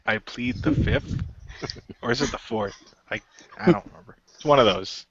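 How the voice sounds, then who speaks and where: A man playing an awkward, self-deprecating parapsychologist in an improvised role-play, with halting, wry delivery. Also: medium pitch, very animated, vocal fry, noisy background. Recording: slightly dull voice chat audio.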